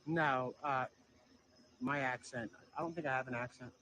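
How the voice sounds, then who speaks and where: A man's voice: medium pitch, steady tone, moderate pace, sharp tone. A man hosting a live stream in a casual, hesitant, informal way. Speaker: livestream host. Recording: noisy live stream with insect chirps.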